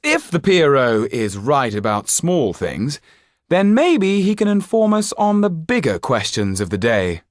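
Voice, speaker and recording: Male narrator as inquisitive society gentleman, raised pitch, polished, lively inflection. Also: measured pace, no echo, studio quality. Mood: challenging. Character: provocative, inquisitive French gentleman of high society.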